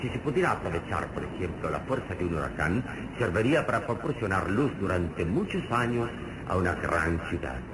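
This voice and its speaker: A very low-voiced hero of an old radio adventure serial, solemn and all-knowing, explaining things deliberately with sweeping intonation. The recording is old and noisy. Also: male voice, very animated. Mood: solemn, confident. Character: calm, all-knowing heroic male adventurer, mentor to a boy. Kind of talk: vintage Mexican radio serial acting.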